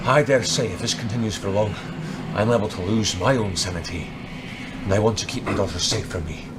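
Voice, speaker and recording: Man playing weary older halfling leader, low and grave. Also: a little fast, heavy background noise, close to an everyday voice.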